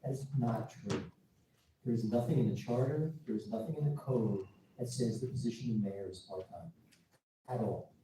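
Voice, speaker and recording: A man's voice: on the low side, normal speed, noisy background. A man speaking as the town's attorney at a council meeting, emphatic and insistent. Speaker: municipal attorney. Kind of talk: public meeting remarks. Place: council chamber. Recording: echoey chamber from far off.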